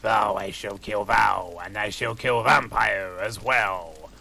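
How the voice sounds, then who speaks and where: A man voicing an evil male dark lord who shouts threats in mock-archaic speech with a raspy voice. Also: on the low side, takes it slowly, full character voice. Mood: menacing.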